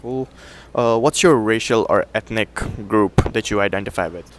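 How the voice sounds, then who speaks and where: A young man interviewing a fellow student, reading survey questions in a quick, breezy way and answering each reply with a quick "cool". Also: on the low side.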